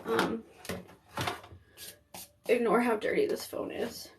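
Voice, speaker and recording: A young woman from Maine talks through a live stream in a loose, rambling way, peppered with fillers. Her voice sits a little high. She sounds like she is chatting from home into a phone. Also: flat delivery, slow pace, vocal fry. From Maine, United States. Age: 20s. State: pregnant. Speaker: makeup artist streaming live. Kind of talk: casual live-stream chat.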